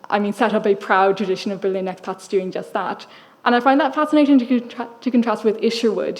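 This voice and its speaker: A young woman from Ireland delivers a public lecture, her tone thoughtful and dryly wry. She reasons aloud in a reflective, measured way, with a voice of middling pitch for a woman. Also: a little mellow.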